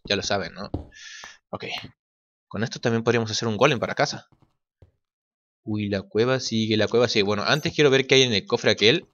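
Man from Argentina, lowish voice, casual gaming commentary, thinking aloud, noisy recording with clicks. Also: a bit bright. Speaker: gaming video creator. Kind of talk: casual let's play commentary. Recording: muffled lo-fi mic, clear noise and clicks.

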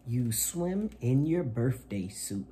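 Storytime host: man, animated pitch swings, mellow tone, reading picture books to children. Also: slow pace, slight background noise. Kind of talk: read-aloud children's picture book. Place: small indoor room at home.

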